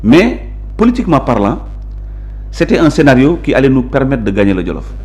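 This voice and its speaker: A man with a Senegalese accent talks as an interview guest. He lays out his views firmly and at length, stressing his points. His pitch sits in the middle for a man. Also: big pitch swings, brisk, darkish tone. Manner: assertive, emphatic, explanatory. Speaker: interview guest, local politician. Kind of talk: conversational interview, mixing Wolof and French.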